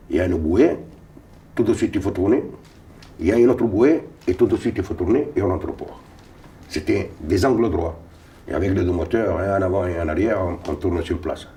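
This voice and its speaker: An older man from Tunisia, Sicilian by first language, tells his memories in a fairly low voice. He rambles, repeating words and starting over mid-sentence. He sits a little away from the microphone, so his voice sounds slightly distant. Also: dark tone. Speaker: interviewee. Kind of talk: recounting memories. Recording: voice a little far from mic.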